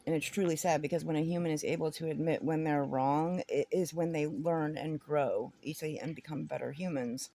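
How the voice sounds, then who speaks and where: A woman's voice: low voice, fairly even intonation, fairly quick, vocal fry, hardly any pauses, warm tone, no echo. A woman talking casually and bluntly in an informal, rambling way, sharing her opinions. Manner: blunt, informal, giggles often between phrases. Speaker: vlogger giving opinions.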